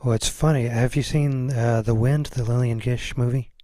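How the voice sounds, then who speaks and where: A man's voice: medium pitch, fairly even intonation, normal speed, mellow, no echo, clean studio sound. A man hosting a podcast, chatting casually with his guest in a conversational, affirming way. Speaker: podcast host interviewing a guest. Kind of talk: casual interview chat.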